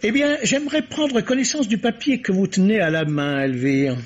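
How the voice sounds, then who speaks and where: An actor playing a shrewd, courteous, self-assured police commissioner in a formal, theatrical radio mystery style. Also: male voice, high-pitched, moderate pace. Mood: smug, teasing. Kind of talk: vintage French radio mystery acting. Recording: dull, muffled old radio recording.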